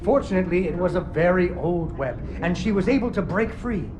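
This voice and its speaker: A woman's voice: lowish voice, animated, brisk, noisy background, natural voice. A woman voicing a young girl who narrates a puppet-show story in a video game, in a sing-song storybook manner. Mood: storybook telling. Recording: game audio with music behind.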